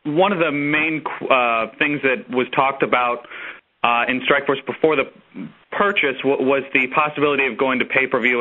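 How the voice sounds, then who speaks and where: A man's voice: mid-range voice, phone-quality sound. A man asking questions as a sports reporter in a tentative, hesitant manner. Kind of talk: spontaneous press questioning. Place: conference call over phone. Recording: thin telephone conference line.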